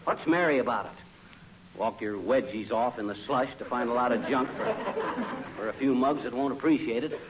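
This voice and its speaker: A man's voice: medium pitch, moderate pace, natural voice. A man with an American accent playing a grumpy, blustering middle-aged husband who hides his sentimental side, in 1940s radio comedy style. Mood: scornful, grumbling. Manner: blustering, folksy, grumbling. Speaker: comedy lead actor. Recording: noisy, dull old-time radio recording.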